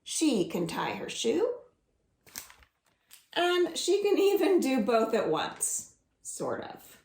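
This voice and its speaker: A woman librarian reading a picture book and singing for young children at home, slow and coaxing, inviting them to join in. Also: high-pitched, huge pitch swings, vocal fry, a little mellow, roomy sound. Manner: slow, singsong and coaxing, asks the listeners to join in.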